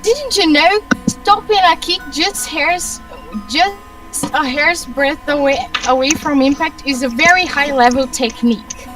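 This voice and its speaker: An assertive, argumentative woman from a rich family, acted by a woman reader. The voice is high and somewhat halting, a little far from the mic with soft music behind it. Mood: bickering. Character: assertive, bickering adult woman of a wealthy family.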